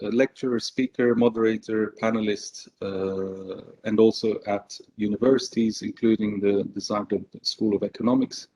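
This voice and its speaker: A man born in Belgium giving a business presentation at a conference, measured and hesitant, with frequent fillers. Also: fairly low pitch, mellow, rough recording. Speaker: conference speaker.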